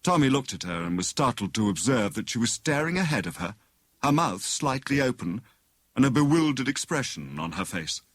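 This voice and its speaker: A man's voice: mid-range voice, big pitch swings, normal speed, fairly bright tone, dry recording. A man reading a mystery story as a scripted audiobook narration.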